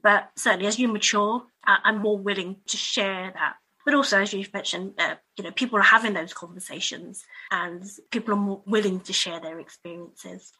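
A woman guest on a podcast speaking reflectively, with soft laughs. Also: mid-range voice, steady tone, moderate pace, hardly any pauses, breathy edge, rough recording. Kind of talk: podcast conversation.

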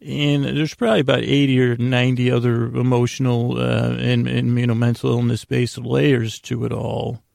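A man with a gravelly, creaky voice rambling through a comedic monologue as a podcast host, halting, meandering and self-deprecating. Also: mid-range voice, flat delivery, a little mellow, dry recording, studio quality.

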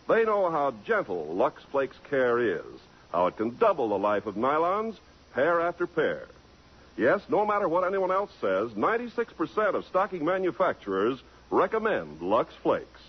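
A man's voice: mid-range voice, very animated, moderate pace, slightly rough. An American man announcing and reading folksy scripted advertisements in the style of 1950s radio. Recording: muffled 1950s radio broadcast.

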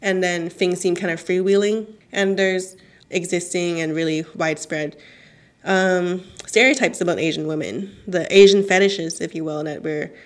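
Cantonese-native woman journalist, interview guest, lower voice, quick to laugh, lots of "like". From Chinese origin. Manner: animated, laughs often, frequent "like" fillers. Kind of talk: radio interview.

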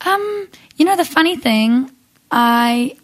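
A woman's voice: high-pitched, lively intonation, slow, a bit bright. A young woman from Zimbabwe, a singer-songwriter, talking casually in an interview, thoughtful and full of ums and restarts.

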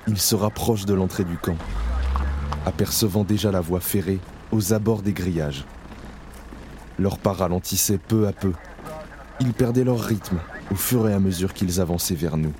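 Deep solemn male narrator over music. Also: dark tone, a little hiss or hum. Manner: slow, solemn, measured. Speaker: narrator playing Isaac, camp prisoner. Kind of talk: audio drama narration. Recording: clear music bed under voice.

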